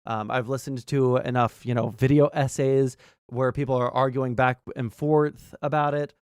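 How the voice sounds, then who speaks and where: A man's voice: medium pitch, fairly even intonation, darkish tone, clear, resonant tone, dry recording, studio quality. A man hosting a casual gaming livestream, rambling through his points in a blunt, sweary way and often checking in with "right?". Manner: rambling, halting explanations peppered with "right?". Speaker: livestream host.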